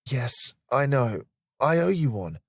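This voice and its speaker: Storybook role: male reader as an apologetic young female teacher, lifted pitch, very dry sound. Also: big pitch swings, takes it slowly, breathy edge, no room sound, exaggerated voice. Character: young woman, apologetic, often late teacher.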